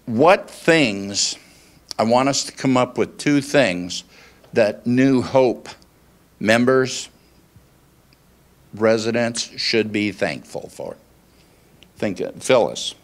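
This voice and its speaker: A man in his sixties preaching conversationally, in deliberate phrases, putting questions to his congregation into a handheld microphone. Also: mid-range voice, lively intonation. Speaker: pastor. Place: small church meeting room, indoors. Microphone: handheld microphone held at chest.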